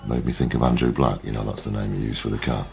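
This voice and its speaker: An actor playing a blunt, sweary, gruff middle-aged detective in a naturalistic radio drama, with a gravelly voice. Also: male voice, extremely low pitch, steady tone, fast, a little mellow. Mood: blunt, businesslike.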